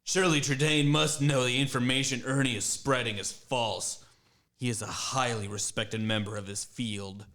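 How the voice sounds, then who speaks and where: A man's voice: medium pitch, fairly even intonation, normal speed, sharp tone. A man playing an earnest young student who raises questions.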